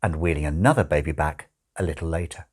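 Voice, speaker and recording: A man narrating a comic novel as an audiobook, with wry, expressive storytelling. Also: extremely low pitch, fast. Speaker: audiobook reader.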